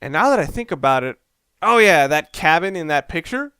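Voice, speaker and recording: A man's voice: fairly high pitch, sing-song, a little fast, pushed and bright, smooth, dry recording, studio quality. A young man giving casual, rambling gameplay commentary, swearing often and poking fun at himself. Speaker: let's play commentator.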